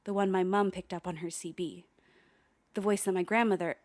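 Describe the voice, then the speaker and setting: An actress playing a haunted, wry young woman who narrates her investigation in a confiding, ominous, deliberate way for a radio play. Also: fairly low pitch, fairly even intonation, a little mellow, lightly acted. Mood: wry, grave. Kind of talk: dramatic first-person radio play narration.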